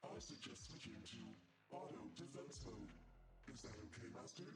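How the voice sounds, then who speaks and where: A man's voice: mid-range voice, normal speed, reverberant, recorded from a distance, loud music behind the voice, close to an everyday voice. A man voicing a dutiful combat mech. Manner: clipped, formal. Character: obedient combat mech, male-voiced and dutiful.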